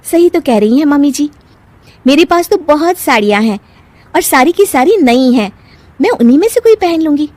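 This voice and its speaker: A woman voicing a defiant, sharp-tongued young newlywed daughter-in-law in a cartoon family drama, feisty and argumentative. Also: high voice, lively intonation, normal speed, dry recording, voice pushed up. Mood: soothing.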